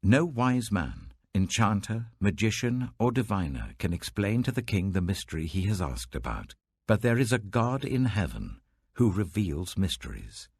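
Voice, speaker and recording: A man voicing an earnest, reverent prophet who addresses a king in a grave, declamatory, deliberate way, in an audiobook reading. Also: fairly low pitch, very animated, breathy edge, no echo, studio quality, heavily acted. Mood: emphatic, reverent.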